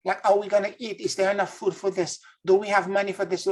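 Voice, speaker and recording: A man's voice: sits high, little pitch movement, fast. A man from Haiti, a spiritual teacher, giving an earnest, introspective monologue and searching for his words as he goes. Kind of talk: reflective spoken monologue.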